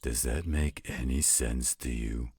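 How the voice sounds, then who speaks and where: A man voicing a gruff, patient older werewolf stepfather in a scripted roleplay audio drama. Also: very deep voice, steady tone, a little mellow, no room sound, exaggerated voice. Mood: dry, gruff. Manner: gruff, dry, unhurried.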